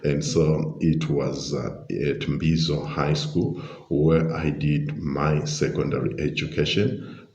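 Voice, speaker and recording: Very deep, slow Zimbabwean man. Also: darkish tone. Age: middle-aged. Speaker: podcast guest, leadership coach and pastor. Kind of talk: conversational interview answers. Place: restaurant. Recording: dull, roomy, echoing sound.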